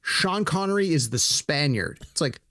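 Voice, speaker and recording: A man co-hosting a podcast in casual chat, animated, acting out imagined dialogue as he talks. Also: a little high, moderate pace, dry recording, clean studio sound. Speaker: podcast co-host.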